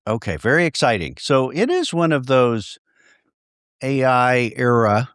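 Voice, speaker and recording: A man hosting a podcast for company founders. He speaks at a middle pitch in a smooth, clear voice and keeps up a chatty, encouraging back-and-forth. The sound is close and dry, with no room echo. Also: very animated, unhurried, fairly bright tone. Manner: chatty, encouraging, many short affirmations. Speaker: podcast host. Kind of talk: casual podcast interview.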